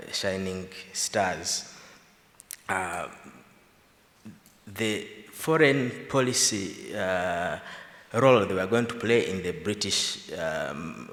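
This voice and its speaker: Low-pitched male panelist, lively and argumentative, long hall reverb. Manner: animated, argumentative, laughs between points. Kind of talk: panel discussion remarks. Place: large conference hall.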